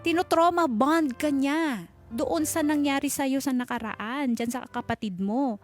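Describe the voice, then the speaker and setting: Filipino woman, high voice, radio advice host, emphatic, conversational, English mixed in, music underneath. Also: unhurried. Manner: emphatic, code-switches into English. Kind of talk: conversational on-air advice to a caller. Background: background music.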